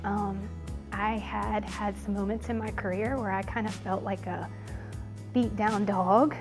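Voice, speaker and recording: Earnest woman teacher, naturally loud voice, soft music underneath. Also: medium pitch, fairly even intonation, unhurried. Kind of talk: interview testimony.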